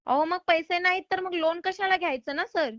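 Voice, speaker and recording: Very high-pitched, insistent woman. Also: fairly even intonation, brisk, fairly bright tone, no room sound. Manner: insistent, polite but exasperated. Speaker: loan recovery caller. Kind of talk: phone collection call. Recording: slightly dull phone-call audio.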